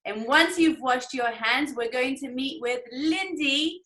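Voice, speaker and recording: A woman hosts an online school assembly with a high, bright voice. She gives children clear step-by-step instructions and keeps prompting them to respond, as a teacher does. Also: measured pace. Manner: instructing, prompting listeners to answer. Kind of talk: leading children in an online lesson.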